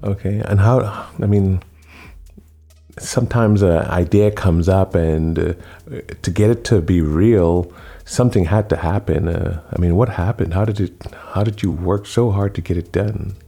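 Deep, dark-voiced male talk show host. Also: creaky, faint music behind. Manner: slow, emphatic, repeats phrases for effect. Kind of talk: radio-style interview.

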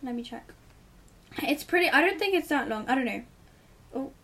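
Teen girl guest, giggly and rambling, higher voice, slightly distant pickup. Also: expressive, moderate pace, a bit bright. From Italy. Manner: chatty, giggly, rambling advice. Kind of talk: casual chat.

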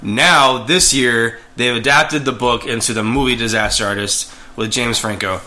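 A man's voice: mid-range voice, normal speed, bright, forceful tone. A man co-hosting a casual movie chat, rambling with lots of fillers and restarts. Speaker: podcast co-host.

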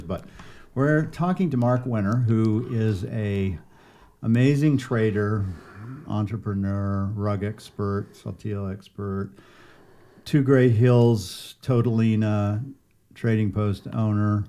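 A man's voice: on the low side, warm tone. A man hosting a podcast interview, chatty and teasing his guest in a friendly way, often restarting his sentences as he rambles. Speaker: podcast host and gallery owner.

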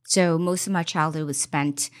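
A woman investor giving a candid, reflective podcast interview, often pausing with ums. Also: low-pitched, fairly even intonation. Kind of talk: conversational interview. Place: studio interview.

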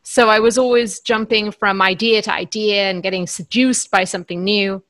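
A woman's voice: medium pitch, fairly even intonation, normal speed, fairly bright tone, no room sound. A woman in her thirties from Northern Ireland speaks as a guest author on a podcast. She talks in an articulate, earnest way, sometimes restarting a phrase. Her voice is recorded dry and close, with no room sound. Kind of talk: podcast interview.

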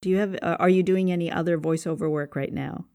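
A man interviewing a guest casually on a podcast, with halting, hesitant phrasing. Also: fairly high pitch, fairly even intonation, gravelly, warm tone.

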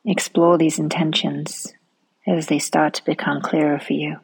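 A woman leading a guided relaxation meditation in a soft, soothing manner, often trailing off into a near-whisper. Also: low voice, little pitch movement, normal speed, creaky, slightly rough, rough recording.

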